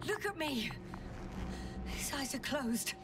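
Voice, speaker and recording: A high-voiced, determined astronaut scientist. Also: female voice, lively intonation, takes it slowly, very noisy. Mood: urgent, worried. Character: determined woman scientist astronaut exploring a hostile planet. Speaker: video game protagonist. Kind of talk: naturalistic game voice acting.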